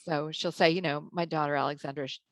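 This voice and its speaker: A woman book editor speaking in a relaxed interview, thoughtful, laughing often, with many ums and restarts. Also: low-pitched, fast, dry recording. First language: English. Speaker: book editor and writing teacher. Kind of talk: conversational interview answers.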